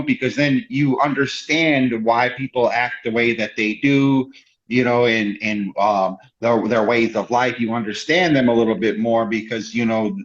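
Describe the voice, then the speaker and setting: A man, a guest in a casual chat, who talks haltingly with many restarts and "you know"s. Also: mid-range voice. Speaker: podcast guest. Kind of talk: casual conversation. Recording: dull lo-fi sound.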